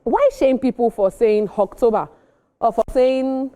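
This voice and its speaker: A woman with a Nigerian accent presenting entertainment news and casual commentary, expressive and emphatic in her delivery. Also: fairly high pitch, measured pace.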